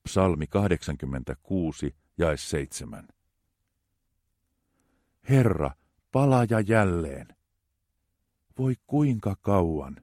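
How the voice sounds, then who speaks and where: A man reading psalms aloud in a slow, measured, reverent way. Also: low-pitched, a little mellow, dry recording, studio quality. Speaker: scripture reader.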